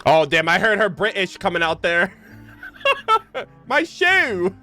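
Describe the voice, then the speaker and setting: A young woman commenting casually on a game livestream, with an excitable, jokey, informal way of talking. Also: medium pitch, takes it slowly. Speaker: streamer. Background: game music.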